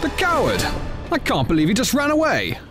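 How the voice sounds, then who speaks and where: An actor playing an angry, desperate crash survivor in an audio drama, accusing and vehement. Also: male voice, very high-pitched, huge pitch swings, a little fast, over music, exaggerated voice. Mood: outraged. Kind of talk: full-cast audio drama acting.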